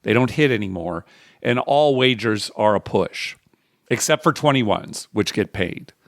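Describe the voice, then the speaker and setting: Man hosting a podcast, very animated pitch. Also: unhurried, creaky, no room sound, clean studio sound. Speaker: podcast host. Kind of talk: casual conversational podcast talk.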